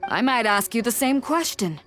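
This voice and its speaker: Commanding, wry sea captain, lively intonation. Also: female voice, a little high, normal speed, soft background music. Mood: smug, commanding. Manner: wry, cool, authoritative. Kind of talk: video game voice acting.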